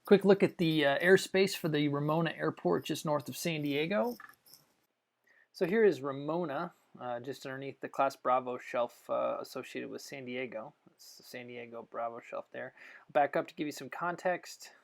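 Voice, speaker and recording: A man narrating a flight video as a test pilot, in an unscripted, technical explanatory style with halting pauses. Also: on the high side, gravelly.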